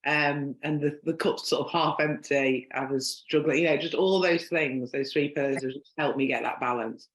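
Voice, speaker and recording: A woman's voice: deep voice, steady tone, moderate pace, hardly any pauses. A woman with a Northern English accent, hosting a podcast interview in a chatty, rambling way full of "um" and "like". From Northern England. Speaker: podcast host. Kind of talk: casual conversational interview. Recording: echoey room.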